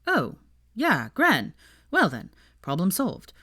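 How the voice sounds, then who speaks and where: A woman's voice: mid-range voice, huge pitch swings, slow, sharp tone, dry recording, clean studio sound, full character voice. Woman reading as a mild, kindly young man. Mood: warm, reassuring. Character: mild, kindly, slightly awkward young man, supportive friend. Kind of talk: fan fiction audiobook character voice.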